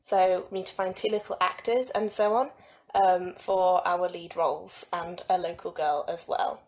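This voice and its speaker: A young woman giving an informal, halting account of a student project. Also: fairly low pitch, steady tone, darkish tone.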